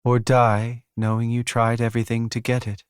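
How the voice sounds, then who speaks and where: A man playing a shaken, sceptical young king in a fantasy audiobook, halting and somewhat hoarse. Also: mid-range voice, completely flat delivery, no room sound, clean studio sound, lightly acted. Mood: stern. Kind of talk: fantasy romance audiobook character.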